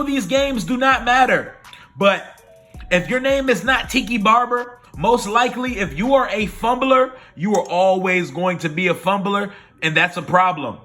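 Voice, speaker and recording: A hoarse man ranting casually and with lots of swearing in a sports fan video recap. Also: high voice. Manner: animated, profane, opinionated. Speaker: sports vlogger.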